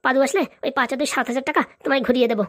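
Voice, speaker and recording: Wheedling, penniless comedy-cartoon husband, played by a woman: hesitant, grumbling, very rapid delivery. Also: high voice, fairly even intonation. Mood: pleading, grumbling. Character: broke, wheedling husband begging his wife for money.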